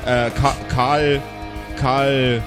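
A man with a mid-pitched voice narrates an improvised tabletop audio play as game master. He feels his way through scene descriptions and jokes with the players, with guitar music clearly underneath. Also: level tone. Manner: halting scene-setting, joking with players.

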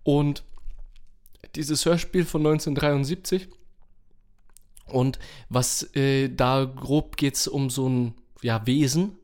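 A man's voice: mid-range voice, fairly even intonation, slow pace, a little mellow. A man chatting informally as a podcast co-host. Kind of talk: casual chat.

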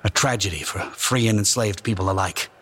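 A man's voice: fairly low pitch, fairly bright tone, breathy edge. An actor playing a wary, solemn male abolitionist publisher giving a formal eyewitness report in an audio drama. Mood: wary, grave. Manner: formal, grave, deliberate phrasing.

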